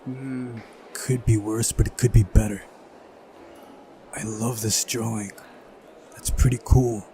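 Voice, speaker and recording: A man's voice: fairly low pitch, steady tone, takes it slowly, warm tone, slight background noise. A man doing a visual novel playthrough, switching between reading narration aloud and casual commentary.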